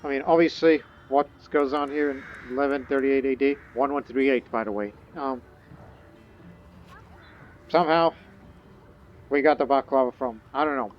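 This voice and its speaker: A man's voice: medium pitch, muffled recording. A man thinking aloud and reading game text in casual, rambling gameplay commentary. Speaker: gaming video host.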